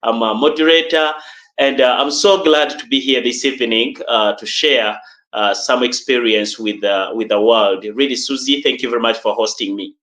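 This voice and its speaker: A man's voice: medium pitch, normal speed, a bit bright. A man with a Ugandan accent giving interview answers and personal stories in a formal, emphatic way with repeated phrases. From central Uganda. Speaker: coach and trainer, podcast guest. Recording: echoey room.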